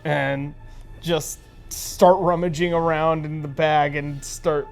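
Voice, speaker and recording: Casual tabletop game player, unhurried delivery, middling pitch, soft music underneath. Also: steady tone, creaky.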